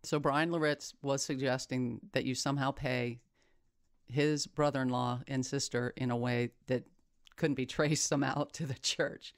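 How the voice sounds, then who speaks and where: Man, interviewer, emphatic, probing, smooth voice, dry close sound. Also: mid-range voice, steady tone, normal speed, dry recording. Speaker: podcast interviewer. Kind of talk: investigative interview.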